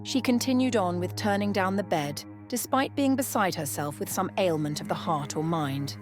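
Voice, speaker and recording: A woman's voice: fairly low pitch, normal speed. A woman reading scripted story narration as the narrator. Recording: music behind the voice. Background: music.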